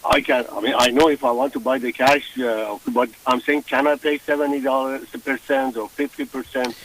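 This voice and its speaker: A man calling in to a radio show, asking a question in a hesitant, halting way. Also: mid-range voice, steady tone, fairly bright tone, a little hiss or hum. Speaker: radio caller. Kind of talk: phone-in question. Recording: distant phone line.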